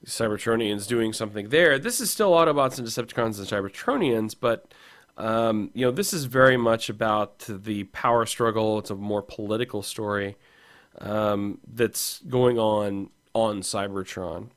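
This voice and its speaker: A man with a slightly hoarse, rough voice, co-hosting a casual podcast chat about comics and rambling with fillers and restarts. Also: lowish voice, moderate pace, a bit bright. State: hoarse, losing his voice. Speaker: podcast co-host.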